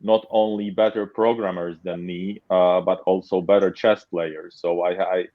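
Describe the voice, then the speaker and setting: A man from Poland answers as a webinar panel guest in a low voice, halting and repeating words as he goes. The sound is dull and dry. It has a slightly lo-fi quality, with no room around it. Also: level tone, no room sound. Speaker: panel guest, tech company leader.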